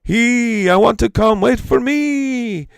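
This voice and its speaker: A man's voice: very high-pitched, takes it slowly, vocal fry, clear, resonant tone, dry recording, clean studio sound, voice pushed up, heavily acted. A man voicing a vain, restless trickster bird from a folk tale, pleading and exclaiming impatiently. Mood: restless, excited.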